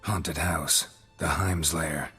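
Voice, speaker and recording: An actor playing a grizzled monster hunter who talks in terse, clipped, matter-of-fact sentences. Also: male voice, extremely low pitch, fairly even intonation, slow, bright, forceful tone, slightly rough, soft background music, exaggerated voice. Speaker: game protagonist.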